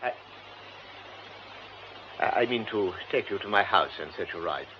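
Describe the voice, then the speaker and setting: Actor as a halting, formal gentleman. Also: on the low side, expressive, lightly acted. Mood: insistent. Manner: halting, formal, repeating himself. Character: insistent, courteous, hesitant wealthy gentleman. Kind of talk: radio drama acting. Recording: dull radio recording, faint noise.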